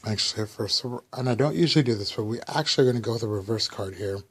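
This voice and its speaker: Slightly raspy man reading tarot. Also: lowish voice, rapid-fire, slight background noise. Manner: loose, rambling explanation with fillers and restarts. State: slightly raspy, under the weather. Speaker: tarot reader. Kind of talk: casual weekly tarot reading.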